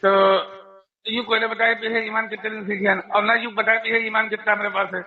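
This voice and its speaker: Guy with a really high voice talking religion earnestly, repeats himself, tinny phone call. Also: level tone, fairly quick. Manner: earnest, repeats phrases for emphasis. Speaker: speaker giving religious advice on a call. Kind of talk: informal religious talk over the phone.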